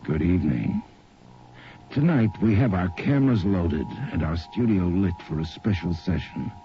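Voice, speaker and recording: An actor playing a sinister horror radio show host, speaking in an ominous, deliberate, theatrical way. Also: male voice, sits low, expressive, a little hiss or hum. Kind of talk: 1980s radio horror anthology introduction. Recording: dull radio recording under loud music.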